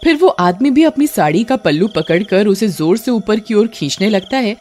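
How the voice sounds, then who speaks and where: A woman's voice: mid-range voice, lively intonation, normal speed, a little mellow, faint music behind. A woman reading a scripted horror story as its narrator.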